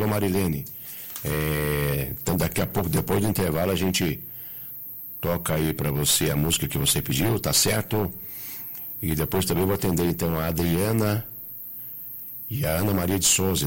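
Hoarse, very low-voiced Brazilian radio host, male. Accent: Brazilian Portuguese. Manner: folksy, repeatedly checking in with listeners. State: hoarse from a cold. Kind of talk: chatty live local radio hosting with sponsor reads.

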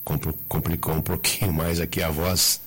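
A Brazilian man hosts local radio in a very low, rough voice. He is hoarse with a cold and speaks in a folksy, chatty way, often checking in with his listeners. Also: little pitch movement, normal speed, a bit bright. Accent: Brazilian Portuguese. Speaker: radio host. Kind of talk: chatty live local radio hosting with sponsor reads.

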